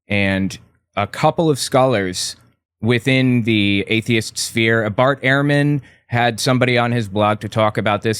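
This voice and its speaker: Low-voiced male author explaining carefully. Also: fairly bright tone, no echo, clean studio sound. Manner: measured, explanatory, with some hesitant restarts. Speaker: author and guest. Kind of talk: explanatory interview talk.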